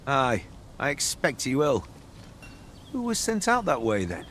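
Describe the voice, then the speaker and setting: Seasoned-sounding guard, fantasy game: blunt, caring, hugely varied pitch, ambience in the background. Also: male voice, wildly swooping pitch, a little slow, a bit bright, a little hiss or hum. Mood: concerned, alarmed. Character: gruffly caring male fantasy soldier, concerned comrade. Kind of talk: scripted fantasy game dialogue, archaic English.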